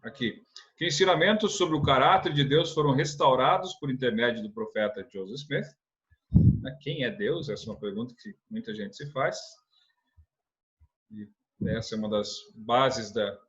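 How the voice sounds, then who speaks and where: A man's voice: medium pitch, dull audio. A man from teaching a church lesson, earnest, asking rhetorical questions and often adding a tag question. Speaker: religious instructor.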